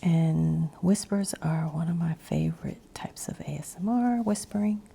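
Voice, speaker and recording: A woman ASMR creator rambling in a breathy whisper mixed with soft, hushed speech, meandering as she goes. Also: low voice, unhurried.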